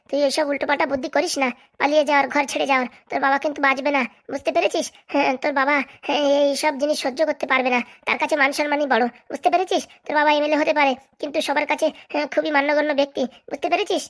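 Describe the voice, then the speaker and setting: Woman acting as middle-aged mother, comedy cartoon, high voice, rapid, nagging, practical, insistent. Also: flat delivery, lightly acted. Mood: firm, warning. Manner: nagging, repeatedly checking she is understood. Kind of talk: Bengali comedy cartoon dub.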